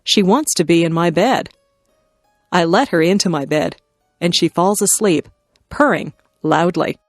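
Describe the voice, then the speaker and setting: A woman narrating simple scripted stories for language learners, reading in careful, clearly separated phrases. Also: fairly low pitch, measured pace, no room sound, clean studio sound, faint music behind. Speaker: narrator of an English listening lesson. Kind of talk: scripted narration, read-aloud beginner stories.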